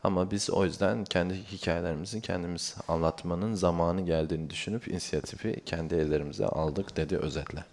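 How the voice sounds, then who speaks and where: Casting: deep-voiced male interpreter, halting delivery, lots of drawn-out fillers. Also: steady tone, gravelly, a little mellow. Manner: hesitant, many drawn-out fillers. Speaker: interpreter and coordinator. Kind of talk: consecutive interpreting at a panel.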